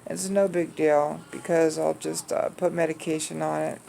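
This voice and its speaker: A woman recovering from neck surgery, hoarse and raspy, talking slowly to the camera from her recliner at home with many pauses and fillers. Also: low voice, little pitch movement, warm tone, a little hiss or hum, poor audio. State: hoarse after surgery, wearing a soft neck collar. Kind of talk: casual recovery vlog to camera.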